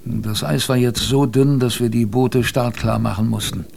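An actor playing a male polar expedition survivor, narrating his story dramatically in first person, halting and repeating phrases. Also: mid-range voice, fairly even intonation, gravelly, darkish tone, slight background noise, natural voice. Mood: grave.

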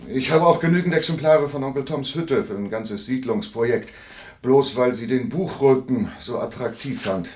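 An actor in a German radio play, playing a fat, shady, wheedling Hollywood film agent who cajoles and hedges. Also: male voice, mid-range voice, normal speed, a little mellow, slight background noise, close to an everyday voice. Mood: dry, sardonic.

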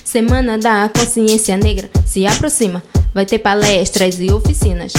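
A woman's voice: mid-range voice, normal speed. A woman delivering a rhythmic, partly sung promotional jingle for radio. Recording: loud music over the voice. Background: loud hip hop music.

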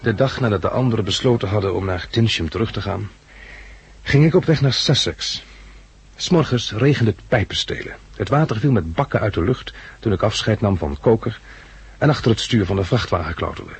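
An actor playing a weary, lonely survivor, telling his journey in measured first-person narration for a 1960s radio drama, with a husky voice. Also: lowish voice, lively intonation, no echo, heavily acted. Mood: weary, reflective.

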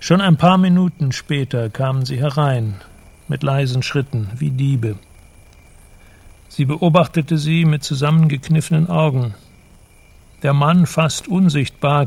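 A man narrating a literary radio drama in a measured, descriptive manner. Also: a little high, vocal fry, dry recording.

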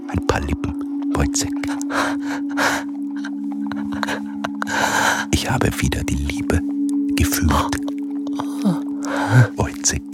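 A melancholy army captain, pompous and moralizing in a radio play. He speaks slowly with lively swings, often dropping into a brooding half-whisper, with music behind. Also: a bass voice, full character voice. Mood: sly, hushed. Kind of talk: theatrical German radio drama acting.

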